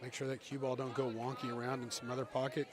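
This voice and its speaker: A man giving excitable live pool commentary as a team captain, coaching the players aloud. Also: medium pitch, quick pace. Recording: loud crowd around.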